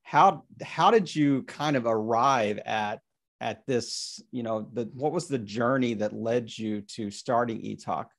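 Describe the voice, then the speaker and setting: A man's voice: medium pitch, measured pace. A man hosting a podcast interview, rambling with repeated words and restarts. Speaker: podcast host.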